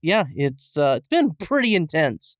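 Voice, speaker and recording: Male podcast reviewer, slightly high voice, halting and rambling, joking casual chat, dry sound. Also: sing-song, dry recording.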